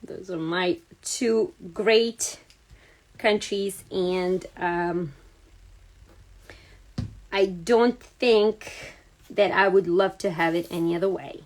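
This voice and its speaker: A woman's voice: lowish voice, slow, pauses often, a little mellow. A woman from Serbia with a Serbian accent, chatting casually to viewers as she hosts a card-making livestream, greeting people by name and using many fillers. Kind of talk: casual crafting livestream chat. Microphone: phone or camera on a livestream.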